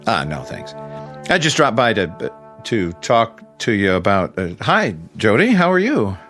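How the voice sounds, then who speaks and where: Radio drama support: nervous American pal and co-conspirator, lowish voice, lively inflection, uneasy. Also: male voice, a little slow, faint music behind. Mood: uneasy casualness. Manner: hesitant, uneasy, feigning casualness. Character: nervous family friend and fellow conspirator.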